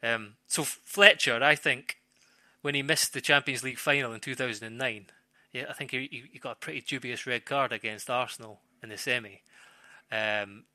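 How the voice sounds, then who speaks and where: A man talking casually as a football pundit on a podcast, halting and repeating phrases as he thinks aloud. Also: mid-range voice, bright, forceful tone, no room sound.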